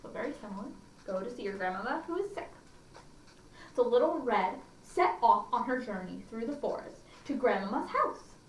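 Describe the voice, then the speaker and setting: A woman tells children's stories in a highish, very lively voice that rises and falls freely. She slips in chatty asides and questions as storytime host, a little distant from the mic in an echoey room. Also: moderate pace, slight background noise. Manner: expressive, questioning asides to listeners.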